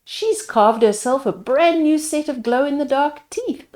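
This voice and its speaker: Female storytime reader. Higher voice, very unhurried, explains pictures to little kids. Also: animated, darkish tone. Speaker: storyteller reading a picture book aloud. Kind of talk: read-aloud storytime narration with asides to children.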